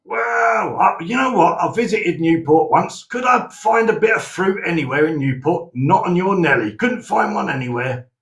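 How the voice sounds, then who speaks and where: A man hosts a live chat stream, rambling through stories with plenty of ums and false starts. His voice sits a little high and swings widely in pitch. The room around him rings with echo. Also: animated, normal speed, few pauses, a bit bright. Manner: rambling, chatty, with many ums and restarts. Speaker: host.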